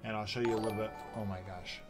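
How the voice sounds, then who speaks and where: A man's voice: on the low side, fairly even intonation, fast talker, music in the background. A man in his thirties hosting a gaming channel, chatting playfully while he plays. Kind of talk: casual gameplay commentary. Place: home recording room.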